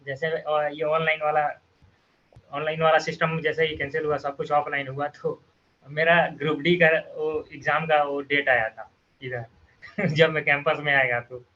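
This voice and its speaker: A young man in his twenties from Bihar answering questions in an interview, speaking hesitantly with many pauses and restarts. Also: fairly high pitch, pushed and bright. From Bihar, India. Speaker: former student guest. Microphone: video call. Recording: lo-fi video call audio.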